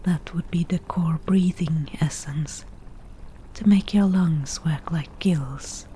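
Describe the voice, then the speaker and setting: Woman playing a slow, whispering forest witch. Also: fairly low pitch, a little hiss or hum. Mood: bossy, matter-of-fact. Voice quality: soft, breathy whisper. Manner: slow, measured, matter-of-fact with dry asides. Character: bargaining forest witch, crafty, a little defensive. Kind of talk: whispered ASMR roleplay. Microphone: close ASMR microphone.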